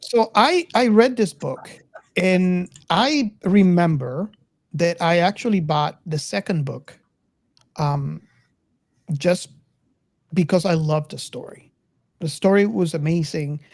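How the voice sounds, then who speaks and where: A woman hosting a casual film discussion, speaking haltingly and repeating words as she goes. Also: fairly low pitch, a little mellow, dry recording, studio quality. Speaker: podcast host.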